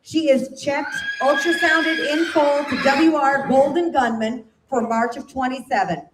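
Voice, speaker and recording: A woman with a slightly high voice reads each horse's pedigree and details at an auction. She keeps it brisk and matter-of-fact. Her voice rings in an echoey barn over clear background noise. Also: steady tone. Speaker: sale announcer reading horse pedigrees. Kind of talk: reading lot descriptions. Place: indoor sale barn.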